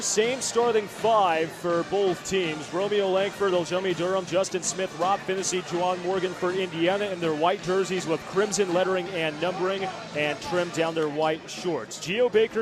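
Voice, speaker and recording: An American man calling live radio play-by-play from a sports arena in a clipped, running present-tense style. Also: sits high. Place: sports arena broadcast position. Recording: loud crowd and arena noise behind. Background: roaring crowd, arena music.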